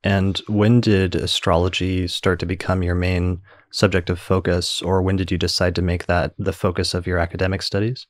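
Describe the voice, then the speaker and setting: Podcast host, male; deep, even voice, probing clarifying questions, dry close sound. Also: normal speed, few pauses, no echo. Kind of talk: casual interview.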